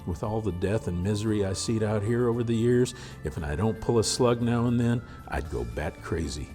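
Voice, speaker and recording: A man voicing a gruff, plain-spoken frontier scout with an in a storybook reading. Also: lowish voice, moderate pace, mellow, faint music behind, lightly acted. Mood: weary. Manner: folksy, gritty, plain-spoken.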